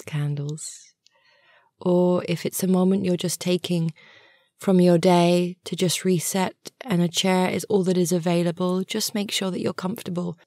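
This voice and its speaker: A woman guiding a meditation in a soothing, gentle and unhurried manner, soft and breathy at the ends of phrases. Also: lowish voice, no echo, studio quality. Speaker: yoga nidra meditation guide, channel host. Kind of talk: guided meditation narration.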